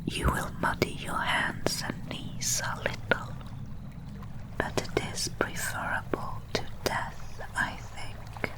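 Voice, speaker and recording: A woman voicing a tiny, gentle will-o'-the-wisp swamp guide in a fully whispered ASMR roleplay, with hushed, careful, soothing phrasing. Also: takes it slowly, close to an everyday voice. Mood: reassuring, careful.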